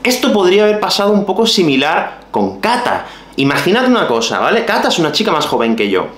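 A man in his thirties from Málaga, with a softened Andalusian accent, explaining things to the camera for language learners in an animated, direct way. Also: high voice, fast talker, roomy sound. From Málaga, Spain. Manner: animated, gestures with his hands, addresses viewers directly. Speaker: Spanish teacher. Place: small room at home.

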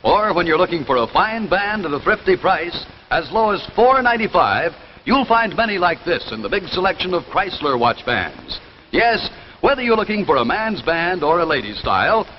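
A man working as an announcer, reading scripted 1950s television copy. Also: high voice. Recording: muffled, noisy 1950s television soundtrack.

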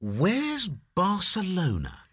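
A woman's voice: on the low side, wildly swooping pitch, fairly bright tone, slightly rough, no room sound, studio quality, full character voice. A woman reading lesson questions and sentences in a coursebook recording. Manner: slow questioning.

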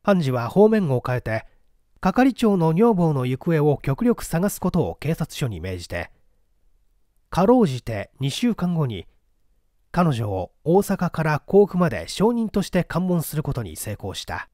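Guy narrating a mystery audiobook, highish soft warm voice, theatrical phrasing, really dry close sound. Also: very animated, unhurried, no room sound. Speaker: story reader. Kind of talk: dramatic literary narration of a mystery.

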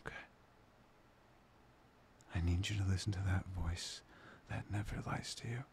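A man playing a soft, loving boyfriend in an intimate ASMR roleplay, half-whispering breathily and soothingly up close to the mic. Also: low voice, fairly even intonation, creaky, slight background noise. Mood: soothing, earnest. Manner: slow, pausing, soothing. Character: soft, playful, loving boyfriend, tender and reassuring. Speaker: audio roleplay performer. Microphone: close microphone.

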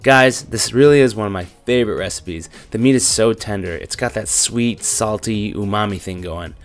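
A young man narrating his cooking vlog with deadpan, irreverent jokes. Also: lowish voice, normal speed, soft background music. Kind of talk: joking cooking voice-over.

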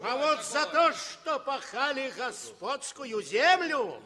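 An actor playing a bullying, servile estate steward, barking orders in a 1960s Soviet radio play. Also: male voice, extremely high pitch, expressive, takes it slowly, sharp tone, heavily acted. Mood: bullying, threatening. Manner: barking, haranguing, repetitive orders. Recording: reverberant hall with crowd murmur.

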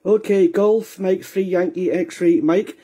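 A man's voice: high voice, slow pace, background noise. A Scottish man talking courteously and a little hesitantly as an amateur radio operator, spelling out call signs. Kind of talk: radio contact chat, procedural. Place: indoor radio room.

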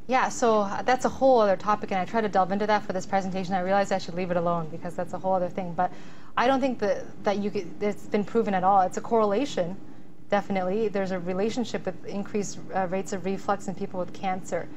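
A woman's voice: medium pitch, steady tone, fast talker, a little mellow, dull audio, slight background noise. A woman presenter answering questions after a medical talk, thinking aloud with restarts. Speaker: resident presenter. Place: lecture hall.

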